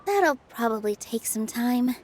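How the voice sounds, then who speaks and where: A woman playing a gentle, sociable young dancer in a video game, with a sweet, youthful voice and a friendly, earnest manner. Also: on the high side, expressive, measured pace, slight background noise. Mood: thoughtful, easygoing. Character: gentle, sociable young female festival dancer, sincere and bright-hearted.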